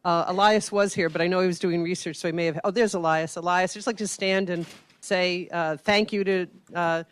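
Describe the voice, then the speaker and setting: Woman host after a talk, lots of uhs, halting announcements. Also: fairly low pitch, fairly even intonation, clean tone. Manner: halting, with many fillers. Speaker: host of the grand rounds, division director. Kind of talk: announcements and thanks after a lecture. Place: lecture hall.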